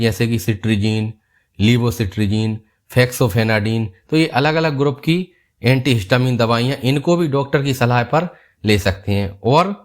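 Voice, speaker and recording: A man, a doctor calmly explaining a health topic step by step in an online video. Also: mid-range voice. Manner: calm, steady explaining, repeats key points.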